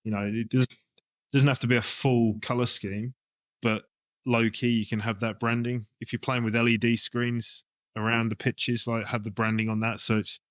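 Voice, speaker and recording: A man's voice: mid-range voice, level tone, normal speed, no echo. A man with an English accent talking casually as an interview guest, explaining his ideas informally as he thinks them through. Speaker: rugby coach and club director, interview guest.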